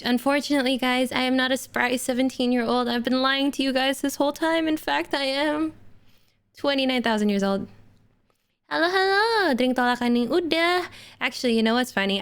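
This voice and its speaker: A woman's voice: fairly high pitch, fairly bright tone, clear, resonant tone. A woman streamer with a light Indonesian accent, chatting casually and jokily with her viewers while feeling unwell with an upset stomach. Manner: chatty, giggly, jokey.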